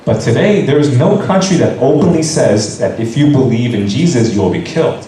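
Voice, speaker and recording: A man's voice: medium pitch, lively intonation, brisk, dark tone. A man interpreting a sermon into English phrase by phrase, with occasional restarts. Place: church hall. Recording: very echoey hall, distant mic, faint hiss.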